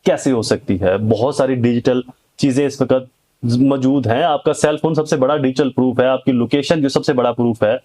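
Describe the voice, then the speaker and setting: A man's voice: mid-range voice, fairly even intonation, normal speed, mellow. A man with a Pakistani accent giving an informal, emphatic legal lecture to viewers, mixing in English legal terms and posing rhetorical questions. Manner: emphatic, poses rhetorical questions and answers them. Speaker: advocate and legal explainer.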